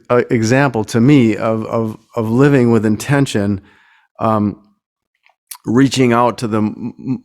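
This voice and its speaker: Halting, self-effacing man. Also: fairly low pitch, a little mellow. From United States. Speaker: singer-songwriter. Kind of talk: casual talk between songs at a performance.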